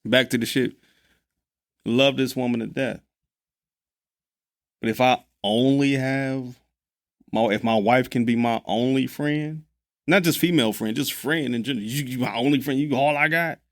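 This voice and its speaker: A man's voice: medium pitch, moderate pace, long pauses. A man in his thirties co-hosting a podcast, talking in a laid-back, loose, slangy way and laughing often. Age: 30s. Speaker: podcast co-host. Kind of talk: casual chat.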